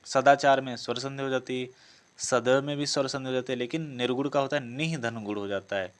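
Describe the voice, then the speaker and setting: A man's voice: medium pitch, steady tone, brisk, clear, resonant tone. A man explaining practice exam questions in a lesson. He reads each option aloud, confirms it, and corrects himself as he goes. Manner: self-correcting, repetitive phrasing. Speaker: exam coaching teacher.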